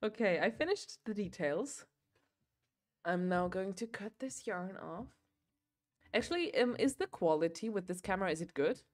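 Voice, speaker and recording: A woman's voice: mid-range voice, expressive, moderate pace. Chatty young woman. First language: German. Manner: chatty, rambling, filler-heavy, laughs often. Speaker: livestream host. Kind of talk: casual chat while crocheting.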